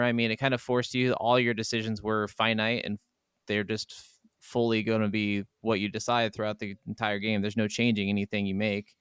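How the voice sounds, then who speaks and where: A man's voice: on the low side, a bit bright, clear, resonant tone, dry recording, clean studio sound. A man co-hosting a podcast, talking informally and agreeably in a casual gaming review chat. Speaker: podcast co-host.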